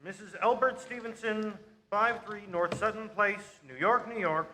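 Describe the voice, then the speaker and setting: A man playing a businesslike telegram clerk in a radio drama, reading out in formal, measured dictation. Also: high voice, pushed and bright, slight background noise.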